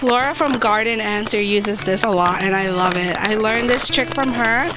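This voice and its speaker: A woman with a slightly hoarse voice, hosting a gardening vlog in an upbeat, chatty way as she walks through each step outdoors. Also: medium pitch, moderate pace, rough recording. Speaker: gardening channel host. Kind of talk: casual how-to chat to camera. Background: background music.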